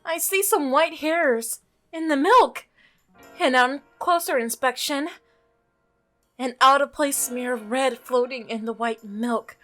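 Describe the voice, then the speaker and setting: A woman's voice: high voice, slow, fairly bright tone, faint music behind. A woman reading the scripted first-person narration of an uneasy visual novel protagonist.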